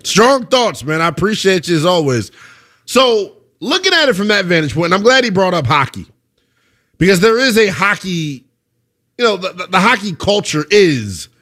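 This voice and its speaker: A man in his twenties hosting a call-in sports talk show, lively and slangy, laughing often. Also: high-pitched, normal speed. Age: 20s. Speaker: sports radio host.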